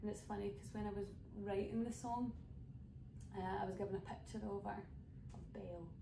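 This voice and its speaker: A young Scottish woman talks through her set in a relaxed, rambling way, filling her sentences with ums and asides. Her voice has a gravelly edge. She sits back from the mic in an echoey room, with steady noise underneath. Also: medium pitch, brisk. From west coast of Scotland. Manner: chatty, rambling storyteller with many fillers. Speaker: folk singer introducing her songs. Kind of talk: casual spoken introduction to an online showcase. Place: home room streaming online. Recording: roomy, distant mic with background noise.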